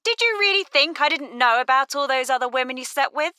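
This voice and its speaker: High, bright-voiced scheming wife played by an actress. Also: female voice, expressive, brisk, no echo, studio quality. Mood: cold, bitter. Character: scheming wife playing wronged, sharp-tongued and cunning. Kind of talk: audio drama acting.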